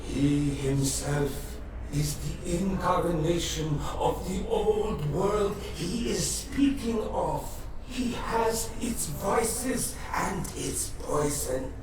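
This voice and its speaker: An actor playing an ancient, solemn god of the land in a video game, declaiming through heavy echo. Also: male voice, sits high, very slow, noisy background. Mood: grave, accusing. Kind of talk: dramatic video game cutscene acting. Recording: distant, heavily echoing, music behind.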